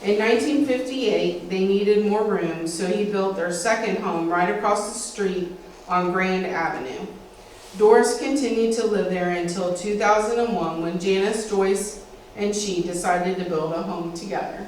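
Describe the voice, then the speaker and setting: A woman's voice: medium pitch, measured pace, darkish tone. A middle-aged American woman reads a written family history aloud at a lectern. She is picked up from a distance in a very echoey indoor room, with faint noise underneath. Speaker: speaker at a local historical society event. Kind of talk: reading a prepared family history script aloud with occasional asides. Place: indoor meeting room with a small audience. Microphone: distant camera, speaker at a lectern.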